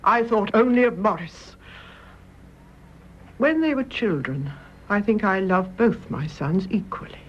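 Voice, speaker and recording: Genteel older British mother, muffled. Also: mid-range voice. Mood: fervent. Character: calm, devoted elderly mother, frank and unrepentant. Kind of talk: radio drama acting. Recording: dull, noisy radio drama recording.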